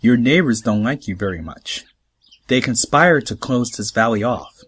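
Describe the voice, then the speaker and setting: An actor playing a composed, tactful away-team officer, speaking in a measured, diplomatic way in a sci-fi audio drama. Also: male voice, medium pitch, animated, fairly bright tone, slightly rough. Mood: frank.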